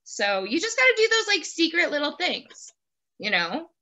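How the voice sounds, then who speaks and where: Bright, high-pitched woman chatting playfully. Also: big pitch swings, normal speed. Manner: playful, joking, frequent "like". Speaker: host, interviewer. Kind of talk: casual chat. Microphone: video call.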